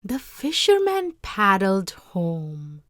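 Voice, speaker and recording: A woman reading a story aloud as narrator, with expressive, dramatic phrasing. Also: mid-range voice, takes it slowly, no room sound, studio quality.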